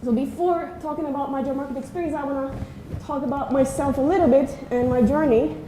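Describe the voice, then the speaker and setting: Woman in her 30s giving a talk, mellow high voice, echoey lecture room. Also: fairly even intonation. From Turkey. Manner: earnest and friendly, frequent "uh" pauses. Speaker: invited speaker, assistant professor. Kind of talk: conference talk. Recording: echoey room, faint noise.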